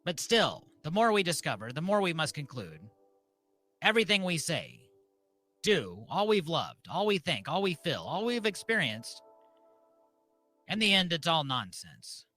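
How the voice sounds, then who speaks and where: A man hosting a comedy ceremony like a mock-solemn preacher, deadpan, speaking in deliberate short phrases. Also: fairly high pitch, faint music behind. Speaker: host and mock officiant of a comedy live show.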